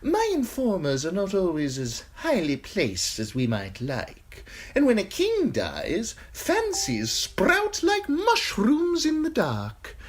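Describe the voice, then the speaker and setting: A woman voicing a sly, simpering male eunuch courtier with a high, tittering voice, in an audiobook. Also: huge pitch swings, takes it slowly, exaggerated voice. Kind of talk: audiobook character voice.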